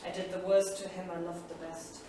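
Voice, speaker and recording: A woman's voice: on the low side, flat delivery, mellow, lightly acted. Woman acting a solemn saga heroine, very echoey. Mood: regretful. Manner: solemn, measured, poetic. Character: sorrowful, proud old saga heroine and solemn storyteller. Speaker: actor. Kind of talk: staged reading of poetic drama. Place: small theatre studio. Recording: distant, echoey theatre recording, faint noise.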